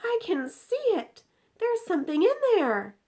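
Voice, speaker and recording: A woman's voice: very high voice, very animated, measured pace, darkish tone, pitched above the performer's own voice, heavily acted. A woman voicing a curious, alarmed young girl in a storybook, questioning and exclaiming. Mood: excited, alarmed.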